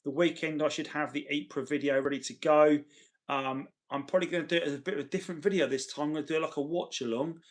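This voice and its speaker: A man hosting a casual livestream, chatting chummily with viewers, his voice tired and getting gravelly. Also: a little high, steady tone, quick pace. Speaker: livestream host. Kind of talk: casual livestream chat, reading and answering viewer messages.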